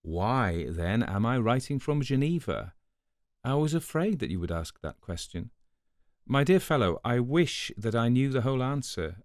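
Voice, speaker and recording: A man giving a scripted literary narration in a measured, conversational storytelling manner, as if reading a letter aloud. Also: medium pitch, very animated, no echo, studio quality. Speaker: audiobook narrator.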